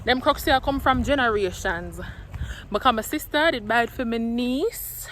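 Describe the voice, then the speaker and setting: A woman's voice: fairly high pitch, moderate pace, fairly bright tone, a little hiss or hum. A young woman from Trinidad, speaking in a Jamaican patois accent that she has learned, chatting casually to her phone camera for a vlog. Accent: Jamaican patois, put on by a Trinidadian. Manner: chatty, mixes patois and standard English. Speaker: vlogger, single mother. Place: home, then in a car and out shopping.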